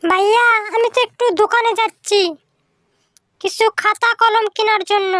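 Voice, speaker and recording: Woman doing a polite young village lady for a cartoon, super high bright voice, dutiful, no room echo. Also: steady tone, moderate pace, studio quality. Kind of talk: cartoon dialogue.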